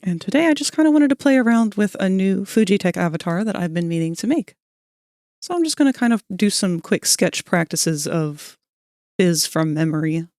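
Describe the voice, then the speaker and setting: A woman's voice: lowish voice, sing-song, normal speed, a little mellow, dry recording, studio quality. A woman streamer chatting casually with her viewers while sketching, her voice a little scratchy, greeting people by name and laughing often. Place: cold room at home.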